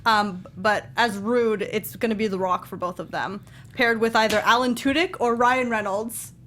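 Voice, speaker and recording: A woman's voice: medium pitch, steady tone, moderate pace, fairly bright tone, a little hiss or hum. A woman co-hosting a casual panel chat, speaking informally and emphatically. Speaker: panel co-host. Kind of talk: casual chat about film casting.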